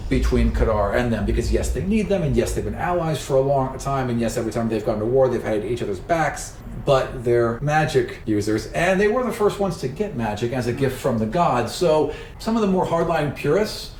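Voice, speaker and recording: A man's voice: mid-range voice, animated, brisk, hardly any pauses, darkish tone. Roomy, distant-miked man as game master. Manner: conversational, explanatory. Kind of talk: explaining tabletop game world and rules. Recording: roomy, distant mic with faint noise.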